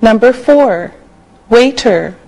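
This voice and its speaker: An older woman teacher speaks at an extremely slow, deliberate pace, pronouncing each word with care for learners. Her voice sits a little high. She is recorded close on a lapel mic in a TV studio. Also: lively intonation, dark tone, poor audio. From Canada. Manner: slow, clearly enunciated dictation. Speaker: English teacher. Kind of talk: slow scripted classroom teaching. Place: TV studio set.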